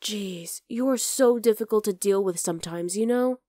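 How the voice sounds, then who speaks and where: A woman's voice: medium pitch, normal speed, warm tone, no room sound, clean studio sound, close to an everyday voice. A woman voicing a teasing, affectionate young wolf-girl girlfriend in an ASMR roleplay, casual and exasperated but tender. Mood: playfully exasperated. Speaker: voice actor in audio roleplay. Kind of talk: ASMR roleplay, acted one-sided dialogue.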